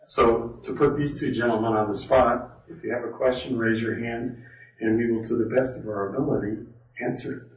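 A man's voice: mid-range voice, fairly even intonation, moderate pace. Man teaching a Bible study in a roomy hall. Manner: conversational, thinks aloud with restarts. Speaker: Bible study speaker leading a question session. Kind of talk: informal teaching talk. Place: meeting hall with an audience. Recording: roomy, distant, dull sound.

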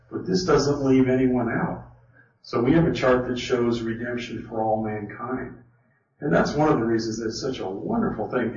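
A man teaches a Bible study in a conversational way, thinking out loud and restarting sentences as he goes. His voice sits at an ordinary middle pitch and is caught by a distant mic in an echoey hall. The sound is a little dull. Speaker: Bible study speaker leading a question session. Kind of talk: informal teaching talk. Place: meeting hall with an audience.

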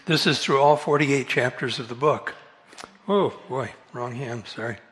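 Soft-spoken man giving a Bible talk. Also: medium pitch, a little slow, slightly rough. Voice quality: soft-voiced. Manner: relaxed, self-correcting, chuckles often. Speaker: Bible study speaker. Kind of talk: Bible study lecture. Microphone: amplified microphone.

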